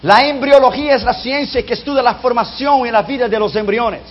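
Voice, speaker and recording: A man preaching a sermon whose first language is not Spanish, emphatic and dropping low and soft on key lines. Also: a little fast, a little hiss or hum. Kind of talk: sermon on prophecy. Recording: dull lo-fi recording.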